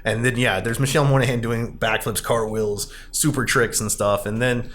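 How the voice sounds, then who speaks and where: A man hosting a casual podcast discussion about a film, talking in an animated, rambling way. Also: mid-range voice, moderate pace. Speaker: podcast host.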